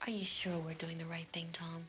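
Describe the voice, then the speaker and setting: A woman's voice: lowish voice, little pitch movement, fairly quick, fairly bright tone, lo-fi sound, slight background noise, close to an everyday voice. Anxious, pleading young woman played by an actress. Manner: worried, pleading. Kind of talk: 1960s film acting. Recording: old film soundtrack, faint music.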